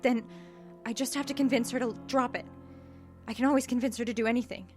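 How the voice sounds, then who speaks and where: Woman playing a high-voiced, anxious young wife. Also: steady tone, quick pace, natural voice. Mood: desperate pleading. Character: naive, pleading, shallow wife who stayed young. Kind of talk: audio horror drama acting. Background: background music.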